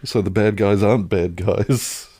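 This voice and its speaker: A man's voice: on the low side, steady tone, a little slow, mellow, dry recording, clean studio sound. An Australian man co-hosting a podcast, chatting casually about film in a thoughtful, searching way.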